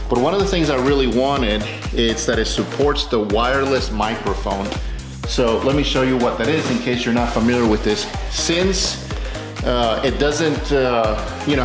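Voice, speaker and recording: Guy doing a chatty car install video indoors, roomy echo, music underneath, mid pitch voice. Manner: conversational, explanatory. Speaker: video host demonstrating car accessory installation. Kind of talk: casual unscripted product unboxing and how-to talk. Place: tight indoor space, roomy sound.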